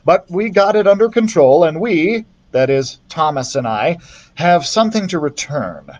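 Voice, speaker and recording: A man voicing a cheerful young secret agent boy in a brisk, upbeat manner, read into a built-in laptop mic. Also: a little high, animated, a little mellow, pitched above the performer's own voice.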